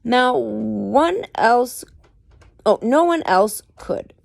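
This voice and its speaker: A woman's voice: fairly high pitch, expressive, extremely slow, gravelly, dry recording. A woman reading a book aloud expressively, with dramatic emphasis and informal explanatory asides. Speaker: parent reading aloud to children.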